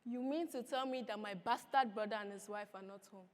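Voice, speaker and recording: A woman voicing a menacing grown man who coaxes and threatens a child in hushed, sinister tones, recorded in an echoey hall. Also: fairly quick, natural voice. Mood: threatening. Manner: low, hushed, sinister coaxing.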